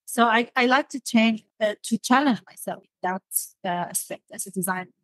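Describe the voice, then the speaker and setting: A woman's voice: fairly high pitch, normal speed, a bit bright, no echo. A woman from Syria in English, speaking thoughtfully as an interview guest and often searching for words. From Damascus, Syria. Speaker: podcast guest, designer.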